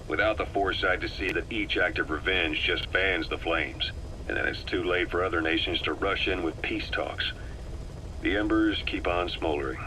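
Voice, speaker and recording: A composed, worldly military operative. His voice is low with a hard, bright edge, measured and matter-of-fact as he briefs a comrade in a video game, over faint tape noise. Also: low-quality recording. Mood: grave, reflective.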